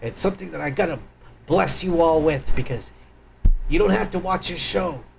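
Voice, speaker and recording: A man's voice: fairly high pitch, moderate pace, creaky, slight background noise. A man hosting a live stream, ranting crudely and angrily in profane political commentary.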